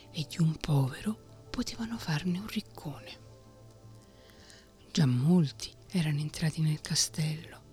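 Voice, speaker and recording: A woman whispering a fairy tale in a soft ASMR reading. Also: low voice. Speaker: storyteller.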